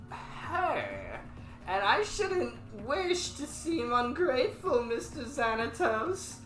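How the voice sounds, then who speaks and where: Fussy, polite lab scientist voiced by a woman, pitched up, roomy sound with music. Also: full character voice. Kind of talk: comic table reading. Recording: echoey room with music.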